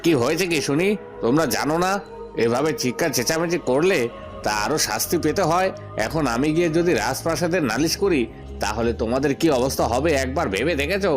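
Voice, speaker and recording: A man voicing a gruff, scolding prison guard who questions people in a cartoon. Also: on the high side, animated, brisk, natural voice. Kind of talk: cartoon dialogue. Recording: music behind the voice.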